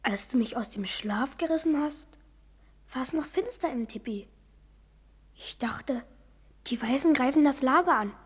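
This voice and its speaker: A woman playing a brave, dutiful young Lakota boy in a German radio play, with a breathy, husky voice. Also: sits high, expressive, measured pace, pauses often, full character voice. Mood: earnest.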